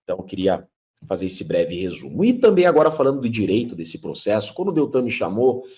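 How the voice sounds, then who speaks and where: A man's voice: fairly low pitch, sing-song, fast talker, darkish tone. A man, a lawyer explaining legal matters emphatically, posing rhetorical questions as he lectures. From Curitiba, Brazil. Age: 30s.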